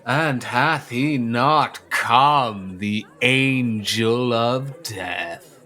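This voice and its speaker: An actor playing a stern, doom-laden prophet, solemn and declamatory, in a theatrical audio drama. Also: male voice, mid-range voice, very slow, sharp tone. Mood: foreboding.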